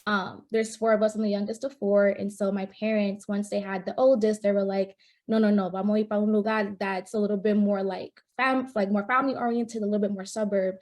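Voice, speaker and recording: Young woman panel guest from New York, mid-pitched, casual and friendly. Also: flat delivery, brisk, a little mellow. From New York, United States. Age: young adult. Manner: friendly, laughs often, many fillers.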